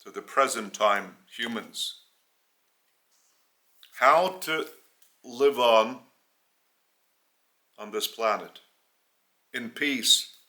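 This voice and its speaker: Slow-speaking Lithuanian man preaching. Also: mid-range voice, bright, forceful tone. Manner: slow, halting delivery in short phrases. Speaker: spiritual teacher. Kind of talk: spoken religious teaching in English.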